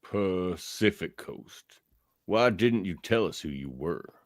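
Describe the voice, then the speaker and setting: Gruff frontier sheriff played by a man, very low voice, firm and plain-spoken, radio drama, dry close sound. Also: lively intonation, slow pace, no echo. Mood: guarded. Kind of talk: amateur radio theatre acting.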